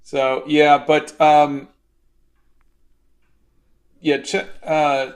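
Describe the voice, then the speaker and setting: A man's voice: fairly high pitch, flat delivery, very slow. A man hosting a live drawing stream as the artist, talking casually and responding to viewers. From United States.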